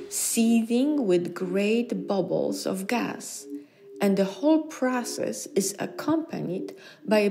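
A woman reading literary science fiction aloud in measured, deliberate phrases. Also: mid-range voice. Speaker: reader. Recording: clearly audible background ambient noise.

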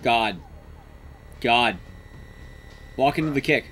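A man's voice: medium pitch, lively intonation, slow, fairly bright tone, soft background music. A man giving casual live commentary as he plays a game, swearing and muttering asides to himself. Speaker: streamer, speedrunner. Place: indoor room, streaming setup.

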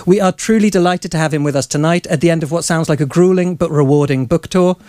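A man's voice: fairly high pitch, quick pace, darkish tone, no echo, clean studio sound. A man in his thirties reading a polished, formal introduction as host of a live author event. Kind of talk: scripted introduction read to an audience. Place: small crowded bookshop, indoors. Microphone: microphone on stand.

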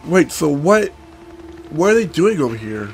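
A man with a sore throat from being sick, giving excitable, rambling let's-play commentary. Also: a little high, measured pace, vocal fry, faint music behind, rough recording. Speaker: gameplay commentator.

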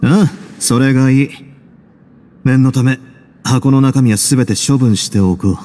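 Cool, dark-voiced man acting a composed duke. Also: mid-range voice, a little hiss or hum, lightly acted. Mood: calm, serious. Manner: measured, wry, self-assured. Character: cool, composed prison duke, dry and courteous. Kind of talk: Japanese game voice acting.

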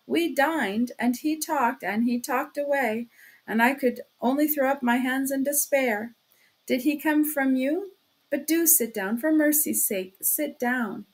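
A woman's voice: sits high, a little slow, rough recording. Woman reading as a sly, fussing male investigator. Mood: solicitous, agitated. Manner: wheedling, chattering, repeats himself. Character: sly, fussing male police investigator, mocking then solicitous. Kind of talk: audiobook character acting.

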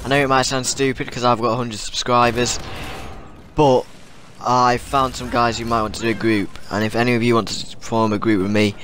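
A man's voice: mid-range voice, level tone, a little fast, a bit bright, slight background noise. A teenage boy with a cold, rambling through casual tips to his viewers over gameplay, often repeating himself. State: has a cold. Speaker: gaming YouTuber.

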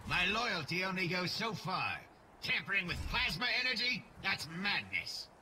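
Proud grumpy robot turncoat, very sharp bright voice, highish, sardonic game character. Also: male voice, big pitch swings, normal speed, slight background noise, full character voice. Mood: indignant. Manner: sardonic, curt. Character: proud, irritable robot defector with wavering loyalty. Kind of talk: cartoon-style video game acting.

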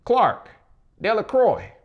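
A man voicing a cheery small-town official in an audiobook, announcing loudly and formally in a folksy way. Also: high voice, huge pitch swings, slow, highs cut off, voice pushed up, full character voice. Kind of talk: dramatic audiobook reading.

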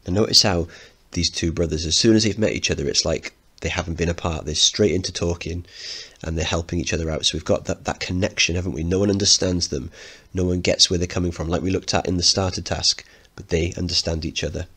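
A man's voice: low-pitched, steady tone, fast, fairly bright tone, breathy edge. A man teaching informally, narrating a play and explaining it as he goes. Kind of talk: dramatic reading with lesson commentary.

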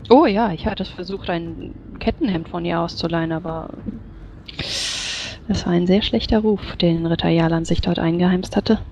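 A woman's voice: lowish voice, moderate pace, mellow, lo-fi sound, a little hiss or hum, natural voice. A woman playing a proper, concerned female adventurer in a tabletop game, speaking formally and politely. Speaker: player. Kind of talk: in-character tabletop roleplay. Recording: music behind the voice.

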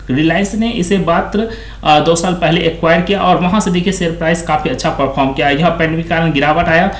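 A man's voice: high voice, roomy sound. A man hosting a stock market video, talking through company figures on a shared screen in a conversational way that keeps repeating the same stock praise phrases.

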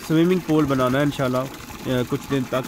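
A man casually narrating a village vlog about his ducks, in a relaxed, chatty way. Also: mid-range voice, a little fast, background noise. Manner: relaxed, chatty, says "ji" often. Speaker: vlogger. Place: outdoor village yard with ducks.

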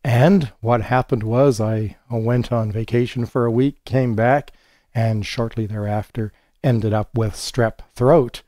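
A hoarse man in his fifties explaining a transformer experiment step by step while he works at his bench. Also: medium pitch, fairly even intonation, warm tone, dry recording, clean studio sound. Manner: unhurried, explanatory, thinking aloud while working. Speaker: electronics educator. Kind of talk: hands-on technical demonstration. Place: home studio with monitors and workbench.

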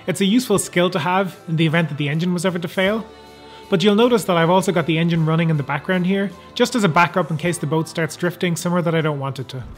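Higher-voiced man narrating a vlog over music. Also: fast. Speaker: sailing vlogger.

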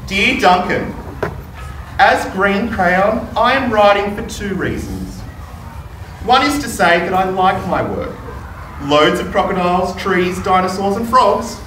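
A man's voice: high-pitched, a bit bright, exaggerated voice. A man voicing a contented, formal, peacemaking green crayon in a storybook read-aloud. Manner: formal, polite. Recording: distant, roomy classroom with noise.

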